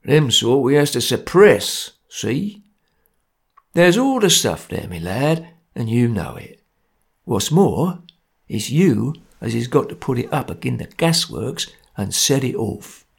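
A man's voice: mid-range voice, big pitch swings, slow pace, vocal fry, husky, full character voice. A man voicing a grim, threatening working-class man.

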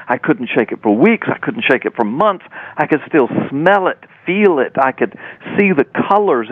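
An older man heard over a dull, narrow radio line with little room sound. His voice sits a little high for a man. He tells his story as a guest preacher, with emphatic, dramatic repetition. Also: creaky, slightly rough, no echo. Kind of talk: animated personal storytelling in an interview.

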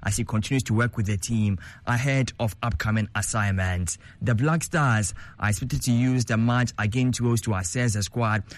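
A man presenting sports news in a steady, formal, scripted delivery. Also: mid-range voice, moderate pace, hardly any pauses.

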